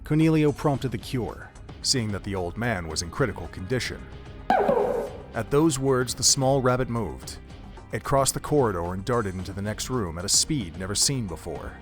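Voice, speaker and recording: A man's voice: on the low side, animated, moderate pace. A man reading scripted narration for an audio drama in a dramatic storytelling manner. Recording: music under the narration.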